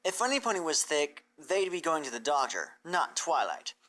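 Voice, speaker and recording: A woman's voice: low voice, very animated, normal speed, fairly bright tone. A woman reading fan fiction aloud as a scripted storybook narrator.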